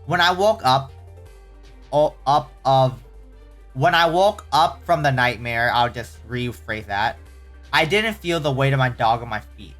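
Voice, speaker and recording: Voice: medium pitch, expressive, measured pace, fairly bright tone, faint music behind. A casual host reading online horror stories aloud, often stumbling and correcting the wording, with startled, exclaiming reactions in between.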